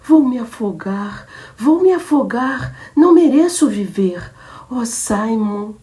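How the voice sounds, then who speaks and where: A woman's voice: fairly high pitch, animated, slow, dark tone, pitched above the performer's own voice. A woman reader voicing a jealous young woman who shoots her lover and then falls into hysterics. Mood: hysterical. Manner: menacing then frantic and sobbing.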